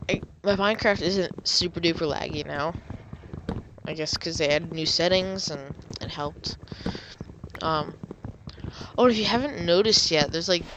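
A woman's voice: fairly low pitch, background noise. A young woman with a stuffy, nasal voice from a cold, chatting casually while she plays a computer game. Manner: chatty, rambling, drifts into murmurs. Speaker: gamer. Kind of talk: casual gameplay commentary.

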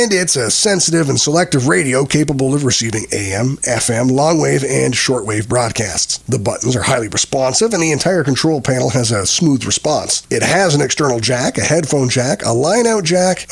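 A man's voice: medium pitch, gravelly, slightly rough. A man reading a scripted voice-over as the narrator of a product review.

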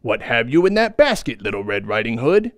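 A man voicing a wicked, sly male wolf in a storybook reading, with a gruff voice. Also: mid-range voice, sing-song, fairly quick, dry recording, pitched below the performer's own voice, close to an everyday voice.

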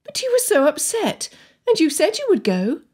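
A woman's voice: high-pitched, expressive, fairly quick, darkish tone, voice pushed up. A woman voicing a headstrong, impulsive young girl of genteel birth, earnest and insistent, in a storybook reading. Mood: indignant disbelief. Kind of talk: dramatised audiobook dialogue.

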